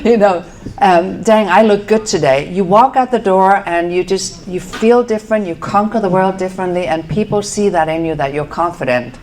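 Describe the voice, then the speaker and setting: A woman's voice: mid-range voice, normal speed, a little mellow, a little hiss or hum. A middle-aged woman from Denmark with a Danish accent, giving a chatty talk to a live audience at an event venue, with fillers and self-corrections. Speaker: speaker. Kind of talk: casual presentation to a live audience.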